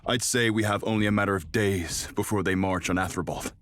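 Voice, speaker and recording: A man's voice: on the low side, steady tone, a little fast, a bit bright, slight background noise, lightly acted. A man playing a dutiful army messenger in a video game cutscene, reporting formally in military fashion. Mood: urgent.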